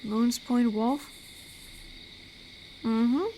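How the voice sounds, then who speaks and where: Woman as a slow-talking, amiable female werewolf. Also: on the high side, fairly even intonation, a little hiss or hum. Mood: wry, chatty. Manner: chatty, gentle, slightly awkward. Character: amiable, rambling female werewolf, protective forest guardian. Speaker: voice actor in an audio roleplay. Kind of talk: intimate scripted audio roleplay. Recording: night cricket ambience behind voice. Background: crickets and forest night sounds.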